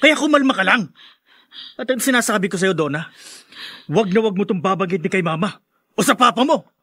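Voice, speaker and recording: A man's voice: sits high, animated, fairly quick, sharp tone, breathy edge, no echo, clean studio sound, full character voice. A man playing a defiant, menacing gambler husband in a Filipino radio melodrama, with a Filipino accent. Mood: defiant, threatening.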